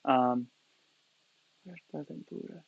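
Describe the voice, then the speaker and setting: A man's voice: a little high, slow pace, vocal fry, slightly rough, no echo. Chatty Coloradan man, slightly dull stream audio. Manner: hesitant, many fillers and restarts. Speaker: poi spinning instructor. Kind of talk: live-stream Q&A tutorial. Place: small indoor space.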